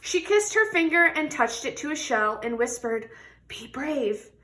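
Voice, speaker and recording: A woman with a bright, fairly high voice reads a children's storybook aloud. She takes her time, drawing out key words for young listeners. Her delivery is expressive, in the manner of someone guiding children through the pages. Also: measured pace. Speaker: read-aloud storybook reader. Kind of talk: animated children's picture book read-aloud with questions to listeners.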